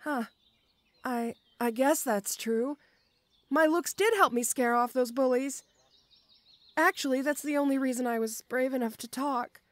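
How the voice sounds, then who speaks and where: Shy tomboy orc schoolgirl, played by a woman, high-pitched, close dry sound, hesitant and bashful, audio roleplay. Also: unhurried, no room sound. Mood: wistful.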